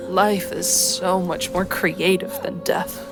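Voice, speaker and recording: Female player, dramatic roleplay narration, unhurried pace, clear music bed. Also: on the low side, little pitch movement, poor audio. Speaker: tabletop game player. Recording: music behind the voice.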